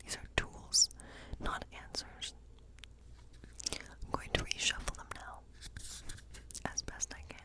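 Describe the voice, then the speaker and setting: Whispering woman in a witchy ASMR tarot role-play. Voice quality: breathy whisper. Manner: unhurried, musing, reassuring. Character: friendly woodland witch reading tarot, gentle and curious.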